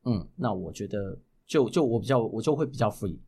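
A man with a Taiwanese Mandarin accent, explaining things as a guest in a casual interview, often halting and correcting himself. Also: on the low side, lively intonation, a little mellow, husky. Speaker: guest voice actor.

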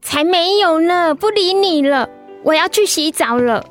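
An actress playing a pouty, affectionate high-school daughter in a radio drama, girlish and frank. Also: expressive, measured pace, faint music behind.

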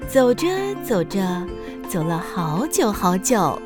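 Female narrator for children's audio drama: slow, highly animated, gentle and dramatic, over clear background music. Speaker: storyteller narrator. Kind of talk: expressive scripted children's story narration.

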